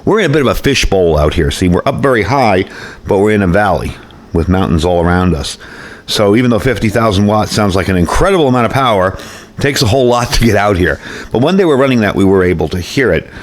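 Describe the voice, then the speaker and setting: A man's voice: fairly low pitch, expressive, fast. A man giving a casual hands-on product demonstration in a folksy, conversational way, often repeating himself. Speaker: radio product reviewer.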